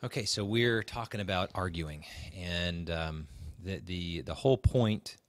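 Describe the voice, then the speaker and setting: A middle-aged American man co-hosting a podcast in casual conversation, often halting and repeating the starts of phrases. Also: deep voice, no echo, clean studio sound. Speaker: podcast co-host.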